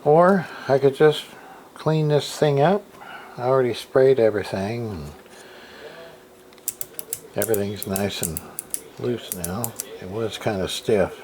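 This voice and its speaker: A man's voice: mid-range voice, slow, pauses often, darkish tone, slight background noise. A tired man casually showing off an old radio at his home workshop desk, thinking aloud with many fillers and restarts. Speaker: hobbyist radio repairer. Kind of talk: casual workbench show-and-tell.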